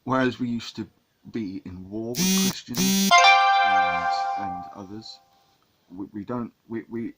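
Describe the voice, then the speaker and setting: A man's voice: on the low side, sing-song, takes it slowly, over loud music, poor audio. A man from England talking in a casual monologue to camera, rambling with many restarts and repeated words. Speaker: vlogger.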